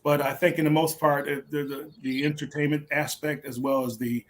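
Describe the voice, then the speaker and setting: A man's voice: fairly high pitch, flat delivery, fairly quick. A man giving a casual interview answer as a guest, hesitant and repeating words as he thinks. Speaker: stage play cast member, interview guest.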